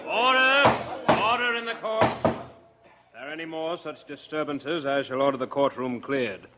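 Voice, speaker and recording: Actor as stern judge, very noisy recording. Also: male voice, a little high, moderate pace, natural voice. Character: stern, commanding courtroom judge calling for order. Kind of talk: 1940s radio acting.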